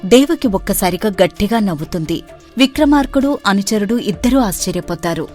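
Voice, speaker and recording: A woman narrates a storybook cartoon in a steady, measured way. Her voice sits at a mid pitch, with faint music playing underneath.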